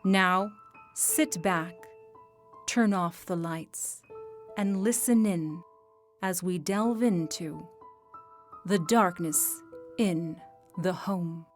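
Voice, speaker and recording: Very slow female announcer over music. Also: lowish voice. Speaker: announcer introducing an audio drama. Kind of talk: slow scripted radio horror show introduction.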